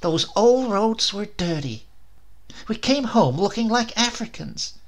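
A man voicing a scolding, exasperated storybook wife with a sharp, snapping, nagging manner. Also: sits high, sing-song, measured pace, pitched above the performer's own voice, exaggerated voice. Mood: accusing.

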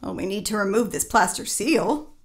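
A woman's voice: medium pitch, lively intonation, moderate pace, close to an everyday voice. A woman acting in an audio drama as a curious, headstrong, playful woman exploring a house. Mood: curious, breezy.

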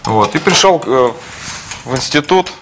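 A man's voice: on the high side, expressive, noisy background. A man on a comedy team telling an anecdote and cracking jokes in a studio kitchen. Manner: quick storyteller, wisecracking.

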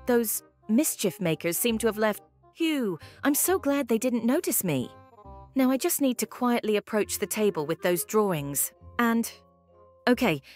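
A woman's voice: a little high, lightly acted. A woman voicing a laid-back, curious young male chicken in an amateur cartoon comic dub. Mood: sneaky, nervous.

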